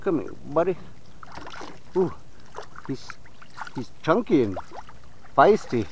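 A man vlogging from a small boat on a lake, chatting casually to viewers and getting excited as he fishes. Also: fairly high pitch, takes it slowly, a little hiss or hum. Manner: excitable, talks to viewers as "you guys". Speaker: fishing vlogger. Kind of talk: casual on-water fishing commentary.

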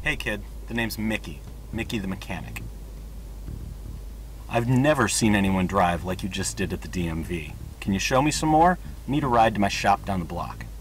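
A man as an eager, low-voiced mechanic. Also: animated, normal speed, over music, lightly acted. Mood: eager, chummy. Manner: earnest, chummy. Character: eager, chummy middle-aged male car mechanic. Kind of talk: comic game cutscene acting.

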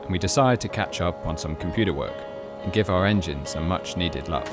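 A man in his thirties narrating his sailing vlog in a relaxed, matter-of-fact way. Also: deep voice, fairly quick, over loud music. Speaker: sailing vlogger. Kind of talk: voice-over narration and casual chat on a boat.

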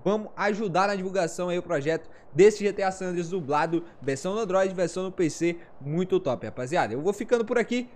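A man's voice: high-pitched, fast talker, few pauses, darkish tone. A Brazilian man in his twenties hosting a gaming video, commenting casually in slang and addressing viewers like buddies. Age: 20s. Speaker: gaming channel host.

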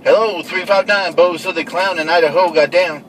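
A man's voice: sits high, fairly even intonation, moderate pace. A man calling out on CB radio in a playful, repetitive way from inside a vehicle. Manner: playful, repetitive call-outs. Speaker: CB radio operator. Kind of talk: CB radio calling chat with repeated handles. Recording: distant mic in noisy vehicle.

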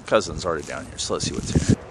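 A man in his thirties talks in a relaxed, rambling way as he explores outdoors with a camera at arm's length. His voice sits very low, and clear outdoor noise runs behind him. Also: racing. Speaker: outdoor vlogger. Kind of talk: casual vlog narration. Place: outdoors in woods and fields. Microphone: handheld camera at arm's length.

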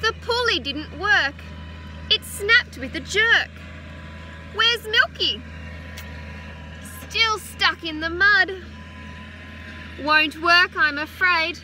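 An Australian woman in her thirties, a teacher gently reading a picture book aloud to children outdoors on a farm, adding chatty asides. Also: extremely high pitch, extremely slow, pauses often, background noise. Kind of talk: children's story time read-aloud. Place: outdoors on a farm beside a utility vehicle. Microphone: phone camera held close.

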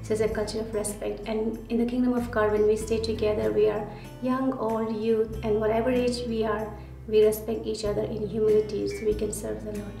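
A woman in her thirties with an Indian accent speaks steadily, in a fairly high but flat voice. She sounds a little far from the mic, in an echoey room. Guitar plays softly behind. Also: a little fast, vocal fry. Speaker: interviewee. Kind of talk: reflective testimony. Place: indoor studio with dark backdrop. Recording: roomy, slightly distant, guitar under. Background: soft guitar music.

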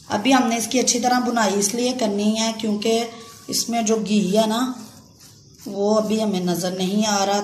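Chatty woman cooking in an echoey kitchen. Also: medium pitch. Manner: chatty, repeats fillers often. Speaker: cooking channel host. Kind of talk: home cooking demonstration. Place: home kitchen. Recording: echoey kitchen with background noise.

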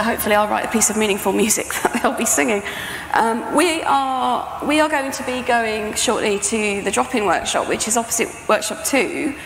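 A woman's voice: medium pitch, creaky. A middle-aged woman with a British accent giving an informal, chatty conference talk on stage. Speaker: composer and sound art professor presenting. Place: stage in a large presentation tent or hall. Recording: echoey hall with background noise.

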